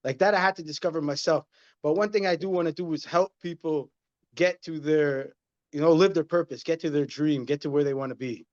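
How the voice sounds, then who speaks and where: A man in his thirties with a slightly high voice, speaking earnestly and circling back to his points like a motivational speaker. The recording sounds a bit dull and muffled, as if through a modest mic. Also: fairly quick, a little mellow. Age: 30s. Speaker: guest, inspirational speaker. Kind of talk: casual interview conversation.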